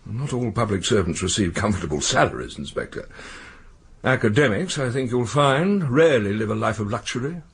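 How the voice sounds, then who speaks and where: Stuffy british academic bloke, formal, swoopy intonation, husky, radio play. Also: male voice, fairly low pitch, animated, fairly quick. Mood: guarded, stiff. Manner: precise, stuffy, somewhat hesitant. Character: proud, formal academic secretary of an exam board. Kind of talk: radio drama acting.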